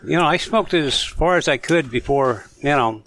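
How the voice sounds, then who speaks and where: A mild-mannered man chatting casually, halting with frequent fillers. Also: medium pitch, a bit bright, a little hiss or hum. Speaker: podcast panelist. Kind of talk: casual chat.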